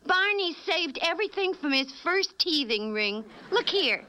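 An actress voicing a perky, giggly housewife and best friend in an animated sitcom. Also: female voice, extremely high pitch, unhurried, heavily acted. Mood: chatty.

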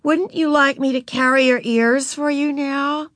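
Brief: woman playing a flustered older sister, soft and pleading, pitched high. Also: fairly even intonation, unhurried, dry recording. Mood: pleading hush. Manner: anxious, hushed, pleading. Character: embarrassed proper older sister, young girl.

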